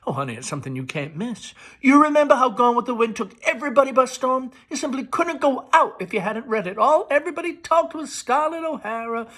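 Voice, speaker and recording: A middle-aged Southern mother played by a man chatters away in a high, swooping voice. She gushes and wheedles theatrically, as in a stage play read aloud. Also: expressive, fairly quick, few pauses, a bit bright, heavily acted. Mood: gushing, wheedling. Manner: gushing, theatrical.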